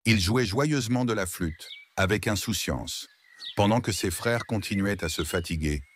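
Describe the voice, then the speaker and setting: Deep-voiced man telling a children's tale. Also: normal speed, slight background noise. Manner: expressive, playful storybook delivery. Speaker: storyteller, narrator. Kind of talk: scripted narration of a children's tale.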